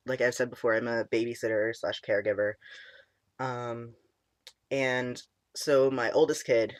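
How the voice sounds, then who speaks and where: A young man in casual chat, his voice cracking and squeaky, deep and stuffy from a cold, rambling with many ums and likes. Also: no echo. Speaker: vlogger. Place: small room indoors.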